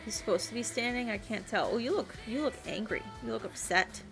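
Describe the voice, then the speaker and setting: A woman's voice: on the high side, fairly quick, gravelly, music in the background, poor audio. A woman livestreaming a game, chatting and rambling casually and reading things aloud as she plays. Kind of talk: casual stream chat and game commentary.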